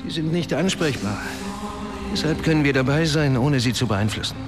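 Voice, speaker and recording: A man's voice: a little high, animated, moderate pace, natural voice. An actor playing a composed, smug man in charge, speaking with controlled condescension in a radio drama. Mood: calm, assured. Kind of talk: German radio drama acting. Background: music.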